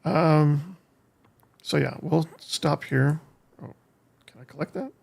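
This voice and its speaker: Man, American accent, very gravelly with heavy fry, husky, medium pitch, casual game streamer, halting. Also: darkish tone. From United States. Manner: halting, thinking aloud. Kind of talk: casual live gameplay commentary. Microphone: streaming desk microphone.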